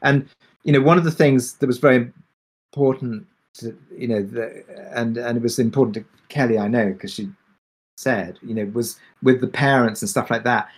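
A man answering questions on a discussion panel as a drama creator, speaking reflectively and haltingly with many pauses. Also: mid-range voice, slightly rough. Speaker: audio drama creator and producer.

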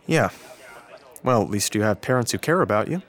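A man's voice: on the low side, animated, brisk, natural voice. Brooding teenage boy played by an actor. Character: brooding, self-pitying teenage high school boy. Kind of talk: radio drama acting.

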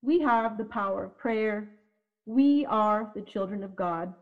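A woman reciting a spoken-word piece in a measured, prayerful way. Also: mid-range voice. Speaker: event speaker. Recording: dull lo-fi audio.

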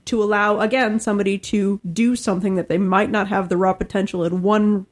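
A woman's voice: mid-range voice, steady tone, a little fast. Conversational woman with a mid-range voice. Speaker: podcast co-host. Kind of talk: casual panel discussion of tabletop role-playing games.

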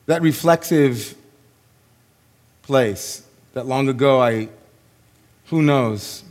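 A rabbi preaches in a measured, unhurried way, leaving long pauses between phrases. His voice sits at an ordinary male pitch but sounds worn and hoarse. The sound carries a long reverb, as if it fills a large hall. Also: a little mellow. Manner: slow, reflective, with long pauses. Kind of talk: sermon.

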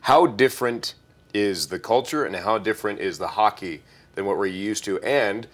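A man's voice: fairly low pitch, normal speed. An American man co-hosting a podcast, playful and joking as he asks questions. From United States. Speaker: podcast co-host. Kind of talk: casual podcast interview.

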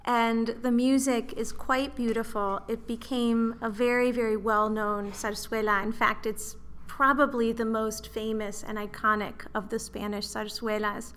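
A woman, a professor and librettist, giving measured, informative answers as an interview guest. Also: fairly high pitch, flat delivery, fairly bright tone, a little hiss or hum.

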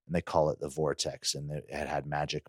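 A man's voice: rumbling low, flat delivery, brisk, no room sound, clean studio sound. A man co-hosting a podcast, chatting casually and recounting things in an informal, loosely rambling way. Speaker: podcast co-host. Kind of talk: casual chat, personal recollection.

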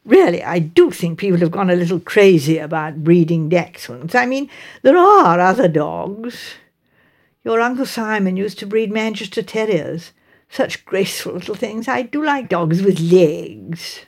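A woman's voice: lowish voice, animated, unhurried, darkish tone. A woman voicing a chatty, strong-willed middle-aged English mother in a village, with decided, exclaiming emphasis. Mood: astonished.

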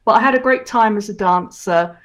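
An older woman who grew up in Hong Kong, answering interview questions in an easy, chatty way. She reminisces warmly and laughs often, with a voice of middle pitch. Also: fairly quick. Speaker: guest. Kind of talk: casual interview.

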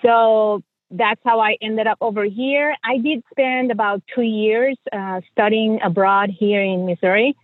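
A woman's voice: a little high, fairly even intonation, unhurried, no echo, narrow, tinny audio. A middle-aged woman from Mexico with a Mexican accent, a native Spanish speaker, telling her story conversationally as a podcast guest. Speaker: podcast guest, financial coach and journalist.

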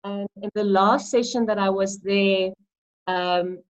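A woman's voice: medium pitch, steady tone, slow. A South African woman in midlife, Afrikaans her first language, speaks unhurriedly and with earnest feeling. She stumbles and restarts words as she talks. The audio is a bit dull and lo-fi. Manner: earnest, often restarts words, warm enthusiasm. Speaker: interviewee, early childhood movement practitioner. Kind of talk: heartfelt conversational interview.

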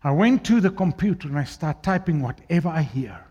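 A man from South Africa with an Afrikaans accent preaching a sermon, swinging between loud declaiming and quiet, low asides. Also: expressive, fairly quick, breathy edge, lo-fi sound.

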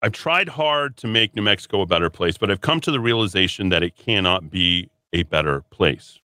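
A man's voice: sits low, expressive, brisk, bright, forceful tone, no echo. A man hosting a solo talk radio show, combative and rambling, with a sniffly runny nose. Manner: combative, rambling, repeats words and restarts. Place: radio studio.

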